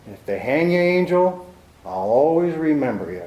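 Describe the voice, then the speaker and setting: A man's voice: fairly high pitch, sing-song, normal speed, dark tone, roomy sound, rough recording. An actor playing a hard-boiled, cynical male private detective in a 1940s-style radio drama, with a clipped, sardonic delivery. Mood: rueful.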